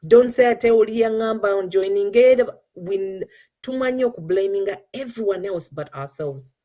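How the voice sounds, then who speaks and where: A woman's voice: medium pitch, moderate pace, warm tone. A middle-aged Ugandan woman with a Ugandan accent, hosting a motivational talk and urging listeners with rhetorical questions. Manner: earnest, preachy, asks rhetorical questions, mixes in Luganda. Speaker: host. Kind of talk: motivational talk show monologue.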